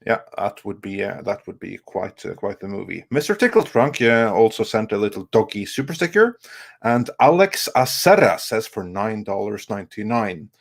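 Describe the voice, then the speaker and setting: A man co-hosting a live stream panel, reading out viewer messages and commenting, with a halting delivery that stretches some words. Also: fairly low pitch, big pitch swings, vocal fry.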